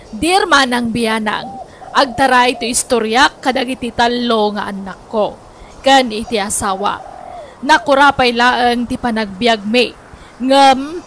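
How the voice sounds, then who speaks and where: A woman with an Ilocano Filipino accent narrates a radio drama as its host. Her voice sits high, and she tells the story in a dramatized way. Some background noise runs behind her. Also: fairly bright tone.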